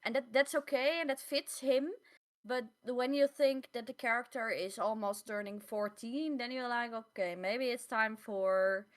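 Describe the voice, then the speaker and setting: Female podcast co-host, voice a bit high, casual halting delivery with repeated words. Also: fairly even intonation. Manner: halting, repeats words, non-native English phrasing. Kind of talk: casual chat.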